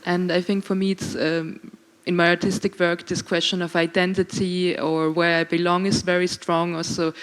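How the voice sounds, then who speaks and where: A woman artist from Ukraine, raised in Switzerland, speaking calmly and thoughtfully on a panel about her work. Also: fairly low pitch, moderate pace, clear, resonant tone, poor audio. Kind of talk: reflective panel talk. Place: panel discussion at an art event venue.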